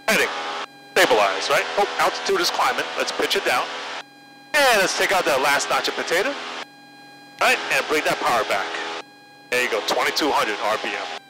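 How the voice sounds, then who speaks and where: Higher-pitched male flight instructor over engine noise. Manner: encouraging, directive. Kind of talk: hands-on cockpit instruction. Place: small airplane cockpit in flight. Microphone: aviation headset. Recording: loud engine noise over headset intercom.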